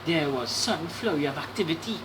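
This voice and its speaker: A woman reading a children's story aloud in an animated, dramatic way, with explanatory asides to young listeners. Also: low voice, a little fast, noisy background.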